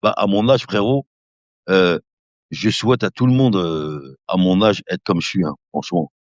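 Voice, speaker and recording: Deep-voiced man in his fifties, chatting live. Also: normal speed, no room sound. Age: 50s. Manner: chatty, addresses viewers as brother; laughing often, relaxed rambling. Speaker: live-stream host. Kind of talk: casual live-stream chat. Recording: dull lo-fi dry sound.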